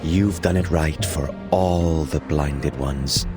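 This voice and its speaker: A man playing a grave, insinuating villain in a video game, speaking formally and in measured phrases. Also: extremely low pitch, steady tone, music in the background. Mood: smooth, insinuating.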